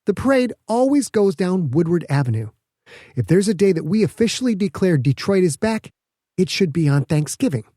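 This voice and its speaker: Brash, defensive female scientist, played by a male narrator, raised pitch, snappish delivery, mellow tone, audiobook. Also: very animated, normal speed, no room sound, clean studio sound. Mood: proud. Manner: curt, indignant, snapping.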